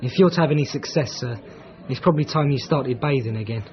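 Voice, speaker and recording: An actor playing a proper, long-suffering gentleman's companion in a period radio drama, speaking in a stiff, clipped, formal way. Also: mid-range voice, fast, natural voice. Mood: dry, formal. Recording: dull, band-limited broadcast audio.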